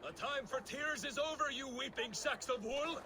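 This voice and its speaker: A man's voice: very high voice, normal speed, background noise. An actor playing a crude, jovial Viking boat crewman in a video game, boisterous and mocking. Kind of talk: video game cinematic acting.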